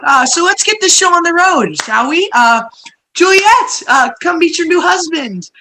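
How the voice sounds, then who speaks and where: A woman's voice: very high-pitched, expressive, unhurried, bright, forceful tone, a little hiss or hum, full character voice, poor audio. A woman in a comedic play reading, playing a scheming, exasperated parent with a theatrical, sarcastic delivery.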